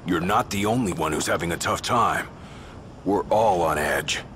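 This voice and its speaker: An actor playing a stern, loyal royal bodyguard in a video game, talking bluntly and commandingly. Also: male voice, low voice, normal speed, slight background noise. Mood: earnest, firm. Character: gruff loyal royal bodyguard, stern big-brother warrior. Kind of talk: dramatic video game acting. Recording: loud game music behind the voice.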